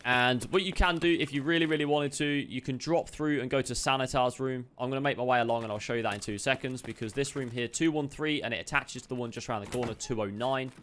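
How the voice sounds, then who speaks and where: A man's voice: medium pitch, fairly bright tone, slight background noise. A man casually narrating a video game loot walkthrough, chatty and upbeat, a little chesty with the odd cough. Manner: chatty, upbeat, quick asides to viewers. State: slightly chesty, occasional cough. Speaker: game streamer giving a loot guide.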